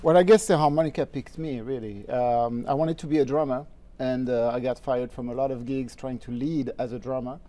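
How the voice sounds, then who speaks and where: Relaxed French-accented man in a studio. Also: medium pitch, fairly quick. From France. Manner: relaxed, easygoing, laughs often. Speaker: guest musician. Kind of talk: casual interview chat. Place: TV studio set.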